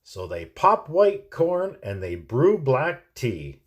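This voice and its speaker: Slow, expressive man reading a picture book. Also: medium pitch, a little hiss or hum. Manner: expressive, drawn-out, sing-song storytelling. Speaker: teacher. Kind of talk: picture book read-aloud.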